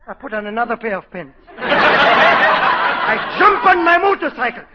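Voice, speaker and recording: Excitable high-pitched French violinist. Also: male voice, measured pace, heavy background noise, heavily acted. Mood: comic pleading. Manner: theatrical, protesting, broken English. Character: excitable, vain French orchestra violinist, comically indignant. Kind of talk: 1940s radio comedy acting. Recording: muffled vintage 1940s radio broadcast.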